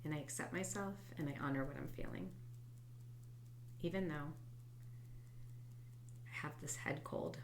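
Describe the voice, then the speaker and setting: A woman with a low, congested voice talks gently through a self-help tapping routine, thinking out loud and sometimes sinking into quiet, whispered repetitions. Her nose sounds stuffed up from a head cold. A faint hum sits under the recording. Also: a little slow, pauses often. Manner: soft, reflective, drifts into quiet whispered tapping phrases. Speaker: host and EFT tapping coach. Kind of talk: guided tapping session, spoken aloud.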